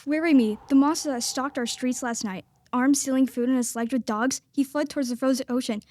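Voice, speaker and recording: A woman's voice: high-pitched, brisk, a little mellow, a little hiss or hum, lightly acted. A woman playing a scornful, frightened villager in a radio play, exclaiming indignantly. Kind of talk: theatrical radio play acting.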